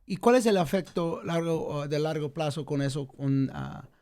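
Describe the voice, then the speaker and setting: A man's voice: fairly high pitch, normal speed, darkish tone. A man hosting a podcast interview, asking long, rambling questions and giving frequent affirming sounds. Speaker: podcast host. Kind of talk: casual interview.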